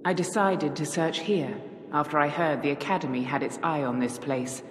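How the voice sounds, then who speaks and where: Low-voiced, stoic warrior noblewoman played by an actress, echoing. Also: moderate pace, background noise, close to an everyday voice. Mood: solemn, reflective. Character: stoic, reserved adult female warrior of a noble family. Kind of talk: video game cutscene acting.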